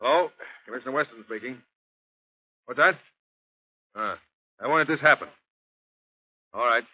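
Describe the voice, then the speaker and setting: An actor playing a brusque, commanding police commissioner in 1930s radio drama, barking curt orders. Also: male voice, medium pitch, normal speed, slightly rough, no echo, natural voice. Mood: businesslike. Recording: tinny, noisy 1930s radio recording.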